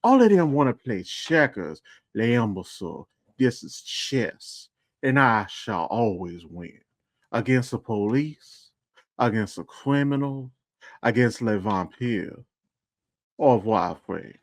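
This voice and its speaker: A man voicing a smug, scheming criminal mastermind in a haughty, slow, deliberate manner, for an audio drama. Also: medium pitch, very animated, no echo, clean studio sound. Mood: smug menace.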